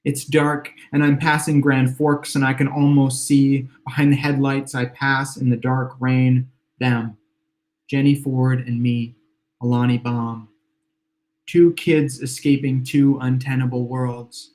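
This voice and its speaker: Slightly hoarse man reading fiction. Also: mid-range voice, little pitch movement, a little mellow. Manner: measured, reflective first-person reading. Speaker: author. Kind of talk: reading own literary fiction aloud. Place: home room with exposed brick.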